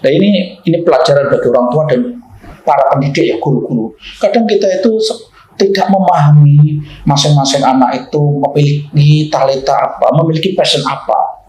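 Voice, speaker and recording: A man's voice: on the high side, fairly quick, a little mellow. A man giving unscripted opinion commentary in a didactic, emphatic way, repeating phrases and posing rhetorical questions. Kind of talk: unscripted opinion commentary in an explanatory, lecturing style. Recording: roomy, echoing room sound.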